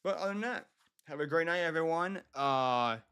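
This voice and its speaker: An American man from Michigan chatting casually to his livestream viewers, halting and often repeating himself. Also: a little high, expressive, bright, forceful tone, smooth. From Michigan, United States. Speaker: livestream host.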